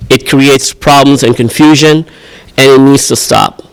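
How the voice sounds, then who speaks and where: A man's voice: medium pitch, steady tone, unhurried, gravelly, clear, resonant tone, low-quality recording. Sincere man speaking at a press event. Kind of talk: press conference statement.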